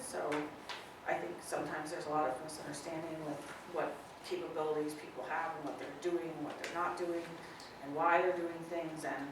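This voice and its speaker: A woman speaking off the cuff at a public meeting, explaining things in a tentative way. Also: low voice. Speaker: meeting participant. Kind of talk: spontaneous discussion at a public meeting. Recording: echoey meeting room, distant mic, noisy.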